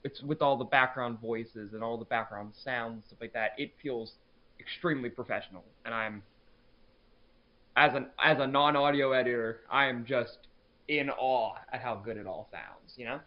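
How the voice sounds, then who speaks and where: A man's voice: mid-range voice, moderate pace. A man talks casually as a podcast co-host. He is informal and enthusiastic, prone to gushing. The recording sounds a bit muffled and lo-fi, like online voice chat. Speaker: podcast co-host, fandub crew member.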